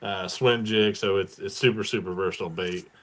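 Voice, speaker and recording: A man's voice: low-pitched, fairly even intonation, brisk, a bit bright. A man on a podcast panel, casually recommending gear in conversation.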